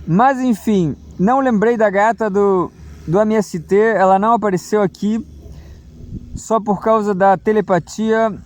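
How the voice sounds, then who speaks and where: High-voiced hesitant man. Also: a little slow. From Brazil. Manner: hesitant, many fillers and restarts. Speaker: political commentator. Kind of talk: rambling solo monologue.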